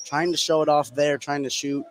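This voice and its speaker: A man's voice: a little high, flat delivery, moderate pace. A man giving casual color commentary during a live basketball broadcast. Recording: noisy live gym ambience.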